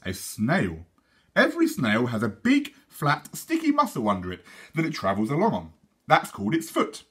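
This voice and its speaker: A man reads the part of a father in a children's story, patiently explaining and gently teasing. His pitch moves in a lively way, and the tone is bright with some huskiness. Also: normal speed, breathy edge, heavily acted.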